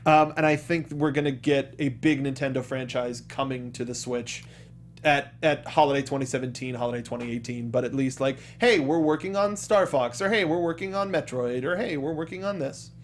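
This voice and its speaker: A man's voice: mid-range voice, brisk, a little hiss or hum. A man hosting a casual panel chat about video games, giving eager opinions with hesitant restarts. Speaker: show host.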